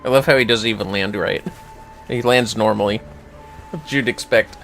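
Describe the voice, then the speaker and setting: A man's voice: fairly low pitch, normal speed, gravelly, a bit bright, soft background music. A man chatting casually on a game livestream, joking in a playful, sweary way. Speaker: game streamer.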